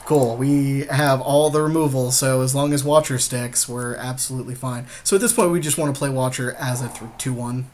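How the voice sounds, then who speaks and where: A man's voice: medium pitch, steady tone, fairly quick, hardly any pauses, a little mellow. A man co-hosting a podcast, chatting casually and agreeably as he advises on a card game. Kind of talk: casual chat. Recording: clear background noise.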